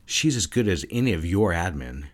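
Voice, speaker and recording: A stern, controlling male advertising executive, played by a man for an audio drama. His voice sits low but swings widely in pitch, and he speaks in a clipped, commanding way. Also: big pitch swings, normal speed. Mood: firm. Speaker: boss at an advertising firm.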